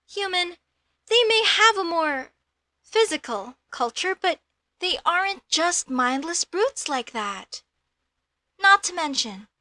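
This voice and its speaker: High, animated young elf mage girl acted by a woman. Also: no room sound, exaggerated voice. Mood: opinionated. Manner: expressive, questioning, proudly defensive. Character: outspoken, curious young female elvish mage, easily indignant. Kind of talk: comedic audio roleplay, spoken to the listener.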